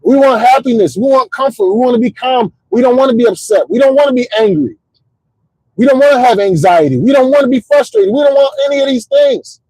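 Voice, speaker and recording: A middle-aged man hosting a casual livestream, rambling in an animated, motivational way with lots of repeats and "you know what I'm saying". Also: extremely high pitch, a little fast, dry recording, low-quality recording. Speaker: host.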